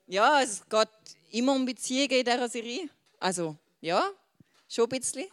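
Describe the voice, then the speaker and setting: A woman's voice: a little high, expressive, moderate pace, a bit bright. A woman in her twenties with a Swiss German accent, speaking informally to a group in a chatty way and dropping in English words. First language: Swiss German dialect. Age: 20s.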